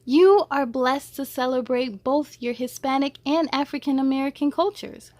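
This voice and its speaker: A woman voicing a loving, reassuring mother in a picture book, gentle and encouraging. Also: sits high, normal speed, no echo, close to an everyday voice.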